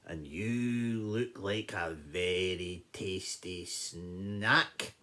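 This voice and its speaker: A storyteller voicing a big, boastful but kind-hearted male lion in a slow, emphatic, booming manner for a children's story. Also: pushed and bright, slight background noise, heavily acted. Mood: hungry, menacing.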